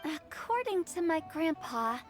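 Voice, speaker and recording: A woman's voice: very high voice, very animated, unhurried, loud music behind the voice, heavily acted. A woman voicing a quirky, cheeky young female funeral director in a video game, with a theatrical, teasing manner. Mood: explaining, breezy. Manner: theatrical, teasing, sing-song rhymes.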